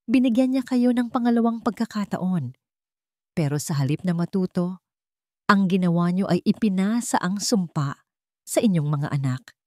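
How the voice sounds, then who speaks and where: Grave, wounded rich young husband in audio drama read by a woman, measured and dry-sounding. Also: mid-range voice, animated, a little mellow, no room sound, lightly acted. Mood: grave, accusing.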